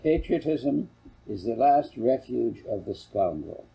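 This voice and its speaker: A man voicing a sharp-witted, principled farm mother with a dry, pointed manner in a storybook. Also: mid-range voice, animated, unhurried, vocal fry, breathy edge, dull audio, full character voice. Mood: cutting.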